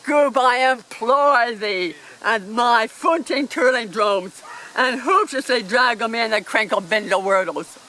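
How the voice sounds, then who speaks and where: A cruel, self-important alien captain acted by a man in a comic radio play. The voice is very high and bright, declaiming slowly and theatrically with pompous menace. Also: heavily acted, low-quality recording. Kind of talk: amateur radio play comedy acting.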